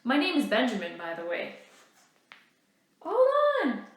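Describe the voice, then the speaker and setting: Woman as a brisk young lad, echoey, high. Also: big pitch swings. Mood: urgent. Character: friendly, urgent young male stranger.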